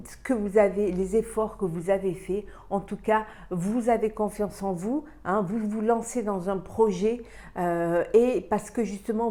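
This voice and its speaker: A woman with a cold reads cards for the week at her own even pace. Her voice is mellow and warm. She hesitates often, filling gaps and repeating words as she goes. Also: mid-range voice. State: has a cold. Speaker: tarot reader. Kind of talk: weekly astrology card reading.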